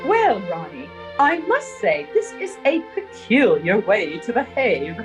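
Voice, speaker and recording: Snobbish older aunt, 1930s radio play: prim, impatient, wildly animated intonation, unhurried delivery. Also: female voice, fairly high pitch. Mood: scolding, exasperated. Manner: prim, haughty, fretful. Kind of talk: 1930s radio drama acting. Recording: 1930s radio recording with music.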